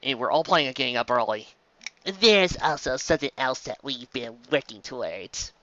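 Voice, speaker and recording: A woman hosting and narrating a fan story, in an animated style. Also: rumbling low, normal speed, muffled recording. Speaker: host and story reader.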